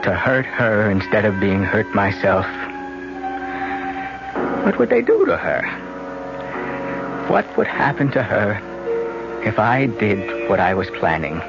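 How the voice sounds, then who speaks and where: An actor playing a troubled young twin brother who is intense, self-questioning and plotting murder in a 1970s radio drama. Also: male voice, fairly low pitch, sing-song, slow pace, gravelly, long pauses, full character voice. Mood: tormented. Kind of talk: 1970s radio drama acting, interior monologue. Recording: muffled vintage radio drama, loud music.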